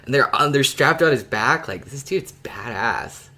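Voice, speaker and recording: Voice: medium pitch, expressive, unhurried, pushed and bright. A podcast co-host chatting casually and analytically about a story. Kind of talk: casual fan discussion of an audio drama.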